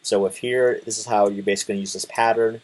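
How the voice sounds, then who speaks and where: Young man, low husky voice, casual coding tutorial, relaxed, lots of ums. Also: quick pace. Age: 20s. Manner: relaxed, many fillers and restarts. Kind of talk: casual screen-share tutorial.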